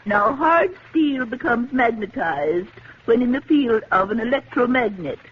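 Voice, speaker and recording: A woman's voice: on the high side, animated, unhurried. An actress playing a sensible elderly lady sleuth, a schoolteacherly type, in an old radio mystery. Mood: composed. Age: older. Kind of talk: 1950s radio mystery acting. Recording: muffled, noisy vintage radio recording.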